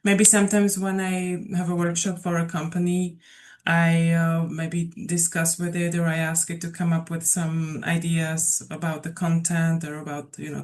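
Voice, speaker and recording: A woman teacher sharing how she works in a casual online discussion, friendly and a little hesitant. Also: lowish voice. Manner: friendly, hesitant, with fillers and repeats. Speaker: mindfulness and English teacher.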